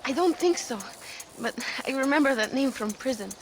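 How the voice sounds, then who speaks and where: Game role: young freedom fighter, sincere, lightly high-pitched, slight background noise. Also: female voice, animated, moderate pace, low-quality recording. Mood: earnest, relieved. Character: grateful, earnest young Mexican rebel woman. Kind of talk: video game voice acting.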